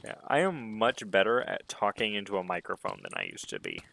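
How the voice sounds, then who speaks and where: A man talks through a solo gaming livestream in a casual, rambling way. His voice is somewhat deep, and his pitch moves around in a lively, animated fashion as he muses aloud. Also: brisk, creaky. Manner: rambling, self-musing talk.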